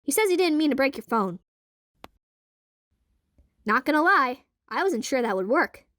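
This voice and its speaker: A woman's voice: high voice, big pitch swings, normal speed, fairly bright tone, dry recording. A woman playing a practical, dry-witted young woman in an audio drama. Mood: dry, curious.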